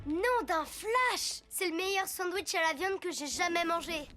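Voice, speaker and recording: A woman's voice: extremely high pitch, measured pace, over music. A woman voicing an eager, enthusiastic school-age hero in a cartoon, keen and exclamatory. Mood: excited. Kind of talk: cartoon dub acting.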